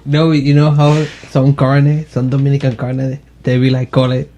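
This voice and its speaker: A man's voice: mid-range voice, monotone, moderate pace, warm tone, dull audio, slight background noise. A man hosting a casual podcast with friends, teasing them and laughing often. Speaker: podcast host. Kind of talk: casual joking chat among friends.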